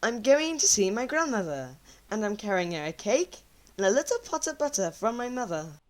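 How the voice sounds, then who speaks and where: A woman's voice: mid-range voice, very animated, moderate pace, lightly acted. A woman voicing an innocent, trusting little girl in a storybook tale.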